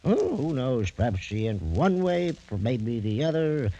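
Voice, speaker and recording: An actor playing a drunken, garrulous old soldier who rambles with proud scorn, in 1940s radio drama style. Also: male voice, mid-range voice, very animated, measured pace, dark tone, muffled recording. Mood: boastful, mocking. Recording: noisy vintage radio recording with clicks.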